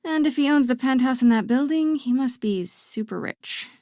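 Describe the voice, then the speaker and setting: A woman playing a determined young reporter in a video game, breathy and often hushed, with a wry way of talking to herself. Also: sits high, expressive, moderate pace, no echo, clean studio sound. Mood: brisk, teasing. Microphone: close, dry studio mic.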